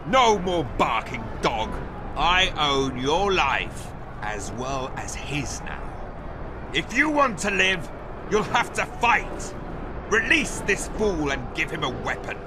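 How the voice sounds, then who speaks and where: Cruel pit warlord for a game: mocking, commanding, drawn-out delivery, noisy backdrop. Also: male voice, fairly high pitch, very animated. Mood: menacing command. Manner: commanding, sneering, taunting. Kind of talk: dramatic video game acting.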